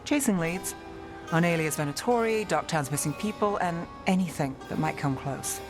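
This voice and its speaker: Female actor as weary fantasy detective, laconic, deadpan, streetwise, game music behind. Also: lowish voice, normal speed, close to an everyday voice. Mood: tired, frustrated. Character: dry, weary streetwise investigator in a fantasy city. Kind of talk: naturalistic video game acting.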